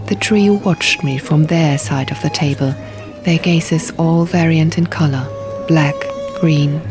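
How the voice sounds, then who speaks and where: A woman's voice: sits low, steady tone, slow. A woman reading a fantasy novel aloud as scripted, dramatic audiobook narration. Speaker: audiobook reader. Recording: music behind the reading. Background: music and sound effects.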